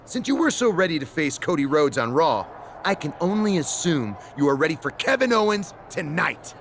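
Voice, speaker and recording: An actor playing a scheming, smug general manager in a wrestling video game, theatrical and sarcastic. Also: male voice, a little high, sing-song, moderate pace, slight background noise. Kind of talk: video game cutscene acting.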